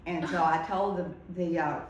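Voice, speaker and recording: Low-voiced woman in her seventies. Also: normal speed, a little hiss or hum. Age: 70s. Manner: lively anecdotal storyteller. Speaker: retired teacher, interviewee. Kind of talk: casual storytelling. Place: room in a senior living community. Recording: echoey room.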